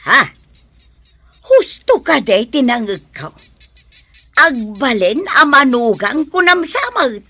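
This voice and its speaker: A woman's voice: sits high, very animated, takes it slowly, pauses often, sharp tone, no echo, exaggerated voice. An actress playing a meddling, skeptical neighbour woman in a radio drama, mocking and incredulous. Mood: nosy, incredulous.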